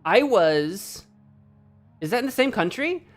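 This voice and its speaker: A woman streaming a game, chatting casually, cracking jokes, laughing often and thinking out loud. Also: medium pitch, very animated, a little slow, faint music behind. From United States. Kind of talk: casual live-stream commentary.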